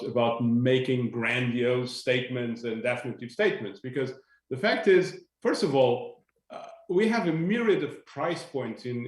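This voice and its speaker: A man from Portugal, speaking as a panelist in a video-call discussion, thoughtful and searching for words with a light joking touch. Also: mid-range voice. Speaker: industry panelist. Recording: echoey room.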